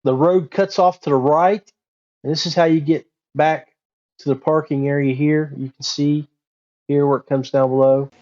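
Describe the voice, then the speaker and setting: On dull, lo-fi audio, a man with a dark, mellow voice gives a casual guided overview. He explains things simply, as an informal presenter. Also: fairly high pitch, fairly even intonation, normal speed.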